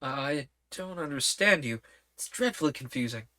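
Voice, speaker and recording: A woman's voice: a bass voice, expressive, moderate pace, pushed and bright, full character voice. Polite, puzzled young girl in a storybook, read by a woman. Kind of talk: storybook reading.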